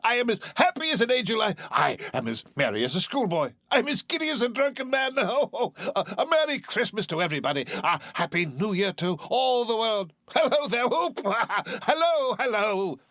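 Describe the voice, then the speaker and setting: A man's voice: high voice, expressive, normal speed, fairly bright tone, dry recording, studio quality, voice pushed up. A man voicing an overjoyed old miser who stammers and laughs between his words. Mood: giddy. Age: older. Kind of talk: audiobook character reading.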